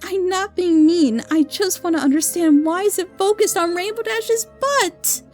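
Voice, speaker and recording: High-pitched, mellow woman giving a wry review. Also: unhurried, faint music behind. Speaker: reviewer of fan audio dramas. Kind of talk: scripted review commentary.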